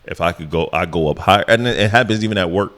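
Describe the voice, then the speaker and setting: A man co-hosting a podcast, with a deep voice that carries, talking in a relaxed, rambling way with lots of fillers. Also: fast. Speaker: podcast co-host. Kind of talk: casual chat.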